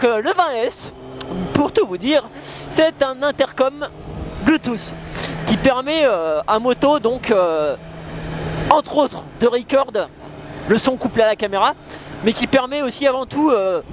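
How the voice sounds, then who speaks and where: A young man chats casually in slang, hesitating and thinking aloud as a motorcycle vlogger. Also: very high-pitched. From France. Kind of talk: casual chat. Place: outdoors on a moving motorcycle. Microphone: helmet intercom microphone. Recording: clear vehicle and wind noise, helmet intercom.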